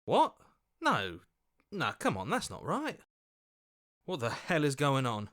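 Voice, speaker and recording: Earnest, anxious game hero, swooping pitch. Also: male voice, wildly swooping pitch, takes it slowly, fairly bright tone, heavily acted. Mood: worried, protesting. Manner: earnest, questioning, protesting. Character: earnest, anxious heroic inner voice, male. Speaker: game voice actor. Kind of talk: dramatic game voice acting.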